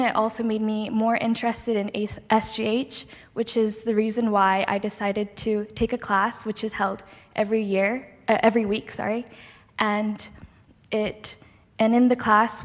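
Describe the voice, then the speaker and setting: A teenage girl from Hong Kong introducing herself fluently and confidently as a student panelist. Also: mid-range voice. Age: teens. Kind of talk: prepared self-introduction. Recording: echoey hall.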